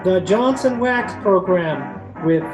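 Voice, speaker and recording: A woman's voice: fairly low pitch, expressive, takes it slowly, muffled recording, over loud music. A woman announcer reading scripted narration for an old-time radio show. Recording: video call recording.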